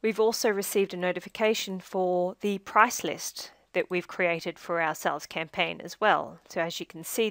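Woman, lowish pitch, scripted tutorial narration, measured instructional delivery, dry close recording. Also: fairly bright tone, dry recording. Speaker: software demo narrator.

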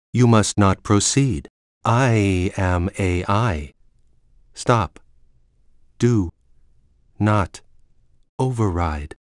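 A man voicing a calm, implacable computer AI for a game, speaking in a formal, measured way. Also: fairly low pitch, smooth, no room sound, clean studio sound. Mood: pleading, failing. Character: calm, implacable male computer AI guarding a facility.

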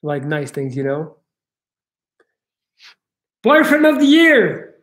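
An upbeat man in his thirties hosts a casual home livestream, chatting with viewers and reading out their comments. His voice sits high for a man. It is picked up on a laptop webcam mic in a living room. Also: big pitch swings, slow pace, fairly bright tone. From Belgium. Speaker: livestream host, coach.

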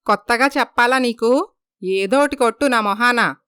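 Bitter older mother-in-law in a story, acted by a woman: complaining, sarcastic, crisp and fairly high. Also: moderate pace, bright, forceful tone, no room sound. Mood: irritated scorn.

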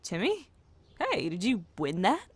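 An actress playing a dry, skeptical member of an unruly family in an audio drama. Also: female voice, medium pitch, wildly swooping pitch, unhurried.

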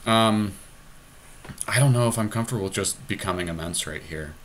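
A man's voice: fairly low pitch, a little fast, a bit bright. A man casually thinking aloud as he co-hosts commentary on an online card game. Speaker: podcast co-host playing an online card game. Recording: noticeable background noise, amateur home capture.

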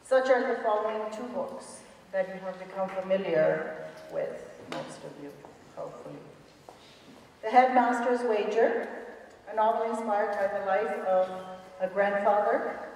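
A middle-aged woman giving a deliberate, formal prepared introduction as host from a stage podium. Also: medium pitch, darkish tone. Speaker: event host introducing a speaker. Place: stage of a large school auditorium. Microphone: podium microphone, far camera. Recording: very echoey hall, distant, faint noise.

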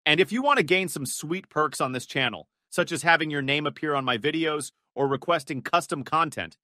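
Sharp, bright-voiced scornful man commentator. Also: a little high, expressive, brisk, dry recording, clean studio sound. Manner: scornful, sarcastic. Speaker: video commentator. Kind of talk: scripted opinion commentary. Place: close-miked voice-over in a dry room.

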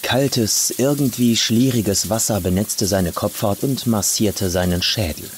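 A man reading a scripted horror story with atmospheric, deliberate delivery. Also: fairly low pitch, a little hiss or hum. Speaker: professional voice actor, audiobook reader. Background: atmospheric music.

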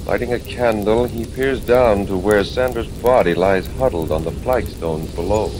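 A man's voice: fairly low pitch, moderate pace, background noise. A man narrating a radio mystery in a dramatic 1940s announcer style. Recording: old 1940s radio recording with music.

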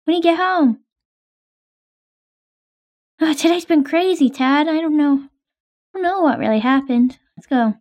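A woman with a high voice plays her part in a casual game roleplay. She talks in a hesitant, chatty way with many fillers. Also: measured pace, pauses often, a little mellow. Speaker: roleplay player.

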